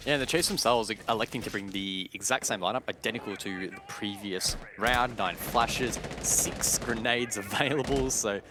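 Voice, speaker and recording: Steady analytical male esports caster. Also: on the low side, normal speed, few pauses, background noise. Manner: steady, detailed tactical explanation with occasional self-corrections. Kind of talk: analytical esports color commentary.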